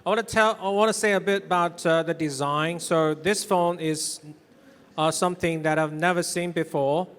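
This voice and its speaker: A man's voice: a little high, steady tone, moderate pace, fairly bright tone, clean tone. A man moderating an onstage interview, asking questions in a conversational way. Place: stage at a tech expo. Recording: reverberant hall sound.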